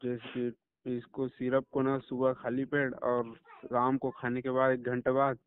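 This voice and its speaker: A man's voice: mid-range voice, completely flat delivery, measured pace. A man calling as a patient, explaining himself in a phone conversation. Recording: tinny phone call recording.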